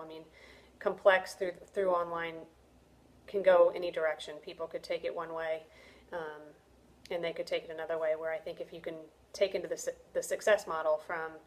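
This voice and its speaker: Hesitant middle-aged woman, slightly low voice. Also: a little mellow. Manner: hesitant, many ums and restarts, self-conscious. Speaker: student reflecting on a course. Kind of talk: casual spoken reflection.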